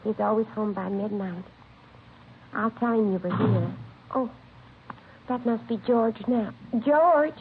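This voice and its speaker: A protective middle-aged aunt in an old radio crime drama. Her voice has a creaky edge and an anxious, doting earnestness. The sound is narrow and phone-like, with faint noise. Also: medium pitch, slow pace, long pauses. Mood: uneasy. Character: protective, trusting aunt of a wayward young man. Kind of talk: vintage radio drama acting. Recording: lo-fi vintage radio recording, faint hiss.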